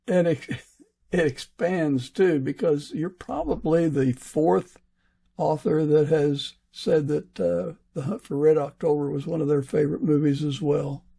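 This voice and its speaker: Male interviewer, slightly high, heavy fry in the voice. Casual, halting delivery. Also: dark tone. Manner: hesitant, halting, chuckles between phrases. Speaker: podcast host.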